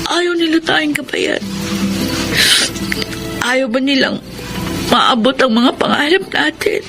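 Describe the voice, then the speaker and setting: A woman's voice: high-pitched, expressive, slow, vocal fry, full character voice. A woman playing a worried, questioning schoolgirl sister in a radio drama, with a Filipino accent. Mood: wistful, worried. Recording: music bed behind the voice.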